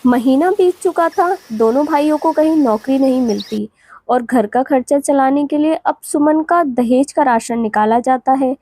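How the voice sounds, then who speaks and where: A woman's voice: high voice, normal speed, mellow, no echo. A woman giving scripted narration for a cartoon moral story.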